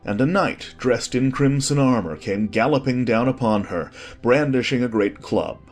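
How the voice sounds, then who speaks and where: A man with a husky voice reading a scripted story as an expressive audiobook narrator. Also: mid-range voice, moderate pace. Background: faint music.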